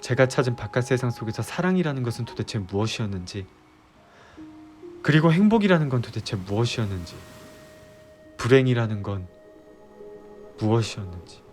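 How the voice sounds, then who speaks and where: A man playing an earnest, disillusioned young fiancé in an audio drama, delivering a heartfelt, pleading monologue with deliberate pauses. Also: mid-range voice, a little mellow, natural voice. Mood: earnest, sorrowful. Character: earnest, disillusioned young male fiance, resolved to leave utopia. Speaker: audio drama creator and voice actor. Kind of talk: Korean ASMR audio drama roleplay. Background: background music.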